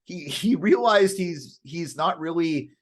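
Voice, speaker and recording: A man with a slightly high-pitched voice talks as a podcast co-host about current affairs. His delivery is casual and halting, and he often repeats words and restarts his thought to rephrase it. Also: lively intonation.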